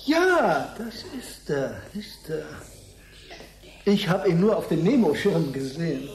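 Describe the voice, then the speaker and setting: An actor playing an inquisitive, impatient investigator in a science fiction radio play, halting and repeating his own words. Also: a little high, big pitch swings, full character voice. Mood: probing, hesitant.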